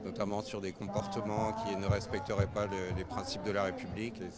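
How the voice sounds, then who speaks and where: A man's voice: fairly low pitch, little pitch movement, fairly quick. A male activist at a protest answering a street interview, hesitant and full of fillers. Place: outdoor street rally. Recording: loud music behind the voice.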